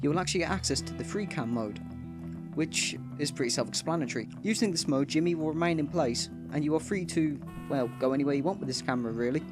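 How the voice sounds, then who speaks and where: A man's voice: fairly high pitch, fast, music in the background. A man hosting a gaming video with casual, explanatory commentary.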